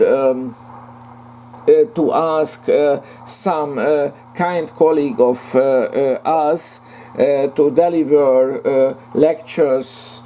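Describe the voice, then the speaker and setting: A man hosting a lecture series, introducing a speaker in a halting way with many fillers. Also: a little high, dark tone, slight background noise.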